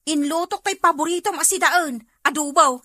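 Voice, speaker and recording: A woman's voice: high voice, fairly quick, pushed and bright, no echo, clean studio sound. A woman with an Ilocano Filipino accent playing a cheerful, doting household helper in a radio drama. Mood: cheerful, teasing.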